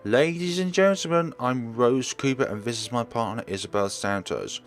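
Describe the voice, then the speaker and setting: A man's voice: mid-range voice, normal speed, soft background music, natural voice. A man voicing a polite young woman estate agent who addresses guests formally in a visual novel. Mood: formal, welcoming. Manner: formal, announcing. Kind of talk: acted visual novel dialogue.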